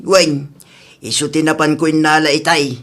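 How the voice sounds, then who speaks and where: A man's voice: on the high side, level tone, moderate pace, a bit bright. A man playing a fretful older father. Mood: defensive. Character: worried, grumbling older father of grown children. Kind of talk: radio drama acting.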